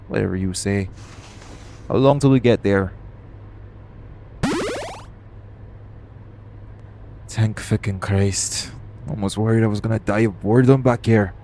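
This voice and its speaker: Guy as a sweary cocky hitman, lowish voice, audio rp, car noise behind him. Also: natural voice. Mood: irritated. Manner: brash, sweary. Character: cocky, foul-mouthed male assassin, irritable and teasing. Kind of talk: audio roleplay acting. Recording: clear vehicle noise behind voice. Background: vehicle ride ambience.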